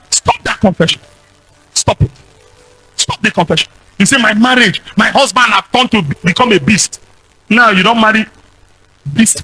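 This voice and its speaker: A Nigerian man with a husky voice and a Nigerian accent, preaching a fiery charismatic sermon as a pastor, exhorting with repeated commands and declarations. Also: high-pitched, bright, forceful tone, low-quality recording.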